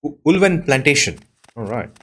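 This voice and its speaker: A man giving casual let's-play commentary in a husky voice, musing aloud and reading names off the screen. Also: medium pitch, big pitch swings, moderate pace, a bit bright. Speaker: video game player and host. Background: plucked-string game music.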